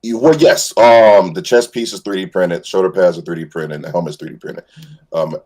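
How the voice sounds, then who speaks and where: A man with a very deep, rough-edged voice talks casually as a stream guest. He is easygoing and enthusiastic, pausing often and feeling for words as he explains. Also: a little mellow. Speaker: guest voice actor and cosplayer. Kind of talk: casual chat.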